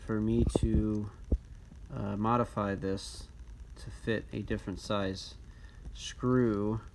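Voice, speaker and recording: A man giving a casual, unscripted hands-on walkthrough of a gadget, speaking in a halting way with frequent ums. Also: on the low side, level tone. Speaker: presenter of a radio disassembly video. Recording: noisy recording with handling clicks.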